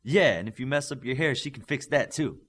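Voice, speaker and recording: A man's voice: medium pitch, animated, brisk, a bit bright. A man voicing an eager, brash teenage boy superhero in an audiobook. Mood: eager, teasing.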